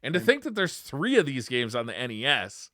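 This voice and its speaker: Bright voiced podcast dude, really up and down delivery, casual gaming chat, stammers through stuff with lots of likes. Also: male voice, mid-range voice, huge pitch swings, no echo, clean studio sound. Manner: halting, repeats words, heavy use of "like". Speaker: podcast co-host.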